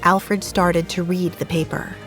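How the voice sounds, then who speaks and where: A woman's voice: lowish voice, level tone, measured pace, a bit bright, faint music behind. A woman narrating a scripted audio drama as a storyteller.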